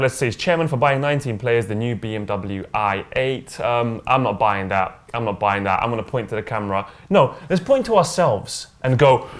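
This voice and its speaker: Young male football show co-host, loud hype-man energy, casual studio chat. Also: mid-range voice. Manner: hypes segments with loud chanted shouts. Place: studio set with sofas.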